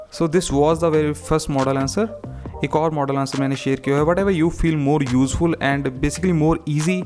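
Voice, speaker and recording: A man with an Indian accent and a fairly high voice gives an instructional lesson. He explains points and reads prepared answers aloud, slipping between English and Hindi, with music playing behind him. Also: darkish tone. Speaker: English exam tutor and channel host.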